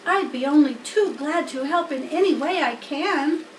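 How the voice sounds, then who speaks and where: A woman's voice: high-pitched, moderate pace, voice pushed up, close to an everyday voice. A woman voicing an anxious, eager young male pig in a storybook, pleading earnestly like a small child. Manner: pleading, earnest, full of questions. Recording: roomy, distant mic, faint background noise.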